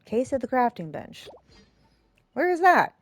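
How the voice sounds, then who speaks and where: A woman's voice: high-pitched, sing-song, unhurried. A woman streamer giving casual let's play commentary in a rambling, hesitant, self-deprecating way. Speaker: game streamer, host.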